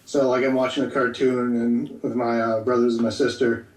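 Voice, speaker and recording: A man being interviewed as a guest voice actor, easygoing and thoughtful, often pausing to think. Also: medium pitch, flat delivery, a little mellow. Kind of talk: casual podcast interview. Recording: echoey room, distant from the mic.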